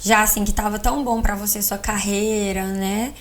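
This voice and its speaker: A Brazilian woman co-hosts a podcast in a casual, conversational way. Her pitch is average for a woman. She talks informally, moving between questions and her own experience. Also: steady tone, moderate pace, slight background noise. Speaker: podcast co-host interviewing her partner, architect.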